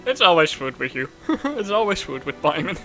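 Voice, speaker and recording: A man's voice: on the high side, very animated, normal speed, sharp tone. A man doing casual let's play commentary, dropping brief offhand remarks as he plays. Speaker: gaming streamer. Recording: game music behind the voice.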